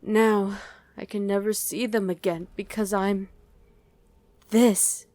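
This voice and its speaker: A woman voicing a guarded demon who was once a human mother, in an intimate ASMR roleplay with halting, emotional delivery. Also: medium pitch, flat delivery, dark tone, no room sound, lightly acted. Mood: bitter, breaking down. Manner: halting, emotional, speaks directly to one listener.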